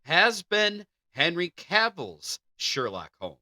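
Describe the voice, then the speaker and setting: A man's voice: on the high side, wildly swooping pitch, slow pace, sharp tone, no echo, studio quality. A man co-hosting a podcast, moving between reading entertainment news and casual banter. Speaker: podcast co-host.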